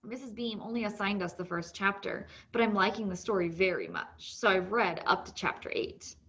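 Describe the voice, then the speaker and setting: A woman's voice: mid-range voice, expressive, moderate pace, a bit bright. Storybook part: self-assured, polite Indian schoolboy , female reader, earnest delivery, somewhat lo-fi audio.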